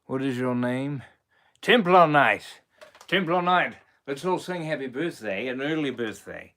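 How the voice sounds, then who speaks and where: A man's voice: mid-range voice, expressive, a little slow, a bit bright. Man doing a pirate drawl on a live stream, pirate english accent, voice swoops all over. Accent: put-on pirate English. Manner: theatrical pirate drawl, playful. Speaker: impressionist streamer. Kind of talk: live stream shout-outs.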